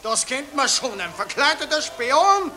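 An officious Viennese bystander in vintage radio satire. The voice is extremely high, broad in accent and full of suspicion. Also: male voice, big pitch swings, a little slow, bright, forceful tone, heavily acted. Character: suspicious, spy-hunting Viennese busybody in street crowd. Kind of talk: 1940s radio satire acting.

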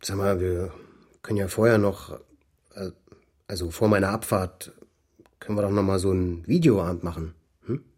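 A man's voice: sits low, normal speed, pauses often, a little mellow. An actor playing a laid-back, slightly needy male buddy in a German radio crime drama, dry and colloquial. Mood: casual, hopeful.

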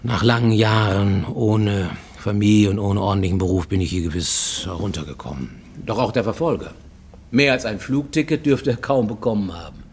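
An actor in a German radio play voicing a haunted middle-aged Japanese fugitive, formal and intense, sometimes dropping to a near whisper. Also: male voice, on the low side, moderate pace, a little mellow, breathy edge. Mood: brooding, paranoid.

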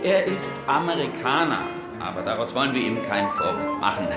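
A man's voice: medium pitch, big pitch swings, a little fast, over loud music. An actor playing a male jazz club master of ceremonies who announces in a formal, dryly witty way, in a roomy, echoey club sound. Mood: announcing.

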